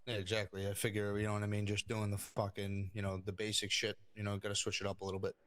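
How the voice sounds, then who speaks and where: Man playing a flat, low-voiced mob underling. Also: fast, no room sound, lightly acted. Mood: agreeable. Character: deferential male mafia underling, casual and hesitant. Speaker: roleplay player. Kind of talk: improvised in-character crime roleplay.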